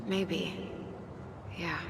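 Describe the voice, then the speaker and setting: A woman's voice: fairly low pitch, steady tone, very slow, full character voice. A woman playing a wry, loyal young sister in a video game, with dry, teasing, naturalistic delivery. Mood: reflective. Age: young adult. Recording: echoey, clearly noisy outdoor game ambience.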